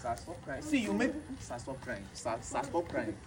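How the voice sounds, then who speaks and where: An actor with a Nigerian accent playing a young husband who shouts threats and slips into pidgin. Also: male voice, very high-pitched, expressive, fast talker, crowd noise. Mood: coaxing. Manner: shouts threats, laughs bitterly, switches into pidgin. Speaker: betrayed husband. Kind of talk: comedy skit acting.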